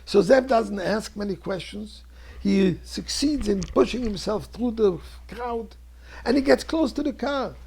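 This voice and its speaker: A man, a rabbi, telling a story in a dramatic sermon, with a husky, gravelly voice and emphatic delivery that moves between hushed and declamatory. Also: high-pitched, unhurried. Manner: theatrical, emphatic delivery, swinging between hushed near-whispers and loud declamation.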